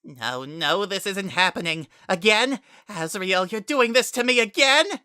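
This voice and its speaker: A man voicing a desperate, furious dark spirit child in a dramatic story reading, protesting frantically. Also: sits high, animated, unhurried, clear, resonant tone, no room sound, clean studio sound, pitched above the performer's own voice, exaggerated voice. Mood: disbelieving anguish. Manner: frantic, shouting protests with cracking intensity.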